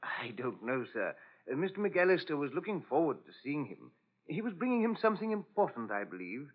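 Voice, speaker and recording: Deferential manservant: higher, lively voice, old radio drama, dull sound. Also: male voice, a little fast. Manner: polite, deferential. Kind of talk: 1940s radio drama acting.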